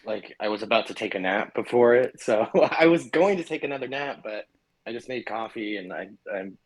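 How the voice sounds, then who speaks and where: A man's voice: fairly low pitch, expressive, moderate pace, low-quality recording. Easygoing young man, hesitant and chatty. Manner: easygoing, hesitant, many "like"s and laughs. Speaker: guest. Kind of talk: casual interview chat.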